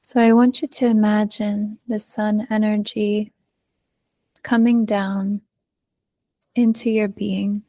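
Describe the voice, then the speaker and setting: A woman leading a guided meditation in a soothing manner, pausing often, with soft phrase endings. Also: medium pitch, level tone, no echo. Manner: slow, soothing delivery with long pauses.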